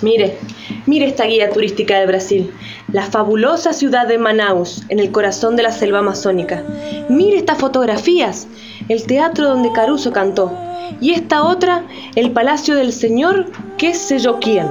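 A woman's voice: on the high side, moderate pace, natural voice. A woman playing an indignant, sarcastic character in radio theatre, speaking emphatically in rhetorical questions. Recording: slightly dull, music underneath.

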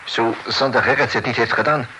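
A man's voice: mid-range voice, steady tone, fairly quick, bright, forceful tone, lightly acted. An actor playing an outspoken, earnest man in a radio drama, speaking emphatically. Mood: blunt, emphatic. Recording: distant radio recording with faint noise.

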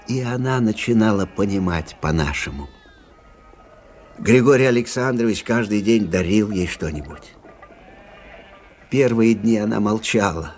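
A man's voice: on the low side, darkish tone. An actor playing an older army captain who recounts his memories conversationally in a Russian radio drama. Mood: wistful reminiscing. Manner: conversational, reminiscing storytelling.

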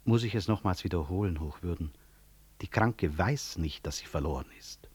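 A middle-aged physician in a 1960s radio drama. His voice is low and his phrasing careful and formal. He stays courteous and gently ironic, yet never yields. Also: husky. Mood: firm, controlled. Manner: formal, measured, precise. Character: dignified male medical professor, courteous but unyielding, gently ironic.

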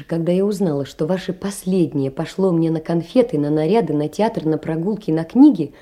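An actress playing a poor, tender young woman in an audio play, earnestly and sorrowfully reproaching in measured literary phrases. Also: on the low side, warm tone, lightly acted. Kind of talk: theatrical audio play, reading a letter aloud.

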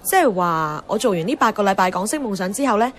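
A woman's voice: mid-range voice, expressive, fast, a bit bright, slight background noise, lightly acted. An actress playing an outspoken young woman in a Hong Kong Cantonese radio drama, blunt and teasing, moving between dialogue and inner narration. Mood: breezy, defiant. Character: outspoken, headstrong young woman, a radio host chasing her dream. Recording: music under the voice.

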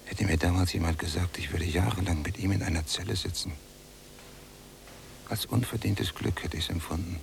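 An actor playing a resentful, long-confined prisoner in a radio play, brooding and deliberate, often hushed and gravelly. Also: male voice, sits low, steady tone, a little hiss or hum. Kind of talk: literary radio play acting, monologue and dialogue.

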